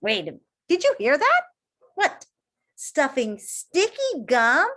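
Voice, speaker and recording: A woman teacher reading a picture book aloud to children in an expressive way, pausing to ask her young listeners questions. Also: high voice.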